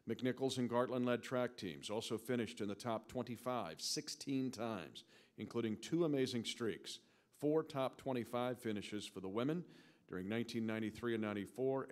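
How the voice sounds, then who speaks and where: A man with a fairly low voice serves as announcer at an awards ceremony. He reads formal, scripted introductions of honorees in a measured, orderly way.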